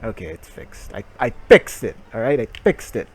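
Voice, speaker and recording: A man's voice: lowish voice, sing-song, moderate pace, darkish tone, husky. A man hosting a game livestream in a theatrical, mock-grandiose way, with muttered, sweary asides. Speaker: livestream host. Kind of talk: theatrical game-stream commentary.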